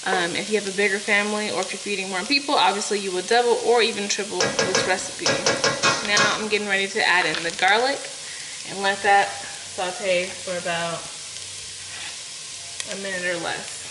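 A woman's voice: mid-range voice, steady tone, moderate pace, background noise. Chatty young woman in a home kitchen. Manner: chatty, talking to viewers with many fillers. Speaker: cooking vlogger. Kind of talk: casual cooking tutorial. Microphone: camera on the counter, close. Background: sizzling food and stirring.

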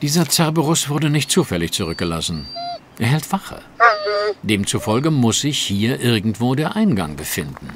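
A male actor plays a mocking, self-assured detective in a radio play. His delivery is highly animated and teasing, at a middle pitch, over faint background noise. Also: moderate pace, close to an everyday voice. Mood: composed, instructive. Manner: instructive, dryly teasing. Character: confident, sardonic gentleman detective, fearless and mocking. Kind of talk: German audio drama acting.

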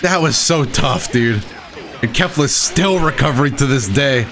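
A man giving casual, excitable commentary while he plays a video game, with playful dramatic flourishes. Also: mid-range voice, unhurried, music in the background. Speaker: gaming video host and player. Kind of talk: casual live gameplay commentary.

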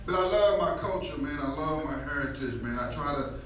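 A middle-aged man from Jamaica with a light Jamaican accent, doing an animated stand-up set on a small club stage and breaking into loud shouted bursts. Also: on the high side, brisk. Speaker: stand-up comedian. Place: small comedy club stage with a live audience. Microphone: handheld mic, filmed from the back of the room. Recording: echoey club room, distant camera mic, audience noise.

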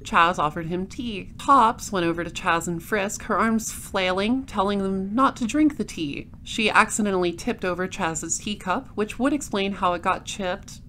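A woman playing a patient, composed detective, narrating an audio drama in a measured, wry way. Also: medium pitch, a bit bright, slight background noise, close to an everyday voice, rough recording. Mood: dry narration. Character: composed, patient female space-patrol detective narrating her case.

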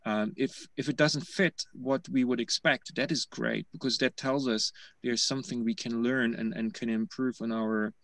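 A man's voice: medium pitch, steady tone, normal speed, fairly bright tone, dry recording. A man in his forties giving a thoughtful academic answer on a video call, with many "you know, like" fillers. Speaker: panel speaker.

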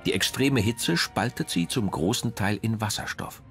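The low, expressive male voice that guides viewers through a late-night television documentary, music underneath. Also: moderate pace. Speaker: documentary narrator. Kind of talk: scripted narration.

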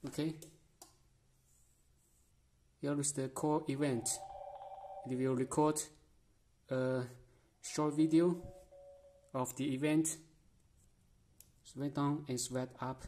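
A man giving a casual step-by-step product demonstration in short, halting phrases, in a small room. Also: a little high, level tone, mellow. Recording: music behind the voice. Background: music.

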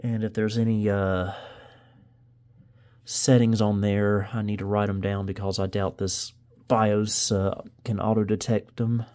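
Man, soft dark tone, casual hobbyist video host, hands-on commentary, tentative, thinking aloud. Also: fairly low pitch, level tone, a little slow. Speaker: host of a vintage computer video channel. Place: indoors in a home room.

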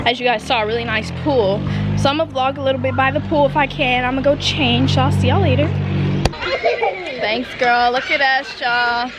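High-voiced young woman vlogging by a pool. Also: fairly quick, loud music behind the voice. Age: young adult. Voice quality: lively, laughing, playful teasing. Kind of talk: casual vlog chatter to camera. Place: outdoors by a house pool with friends. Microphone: handheld camera at arm's length.

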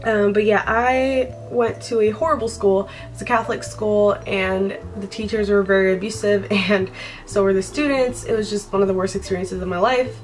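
A woman's voice: medium pitch, fairly even intonation, normal speed, soft background music, poor audio. A young woman from Brazil, Portuguese her first language, talking casually to her camera in a bedroom, rambling and laughing often. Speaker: vlogger. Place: bedroom by a window, indoors.

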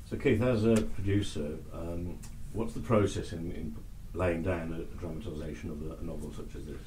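A man's voice: deep voice, moderate pace, warm tone, roomy sound, a little hiss or hum, poor audio. A man interviewing guests on local TV who asks his questions hesitantly and stumbles over his words.

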